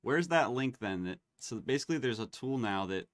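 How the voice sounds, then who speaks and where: A man hosting a live online demo, chatting casually and getting excited as he tests tools. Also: mid-range voice, fairly quick, dry recording. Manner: excitable, laughs and exclaims while testing tools.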